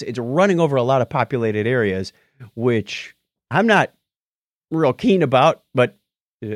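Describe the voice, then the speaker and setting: A man's voice: fairly high pitch, dry recording, studio quality. An American man co-hosting a show, chatting casually through news stories in a chuckly, explanatory way. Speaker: podcast co-host.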